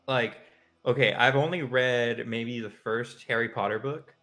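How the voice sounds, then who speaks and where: A man's voice: medium pitch, steady tone, unhurried, sharp tone. A man co-hosting a live stream, chatting in an informal, conversational way. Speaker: co-host. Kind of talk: casual chat on a live stream.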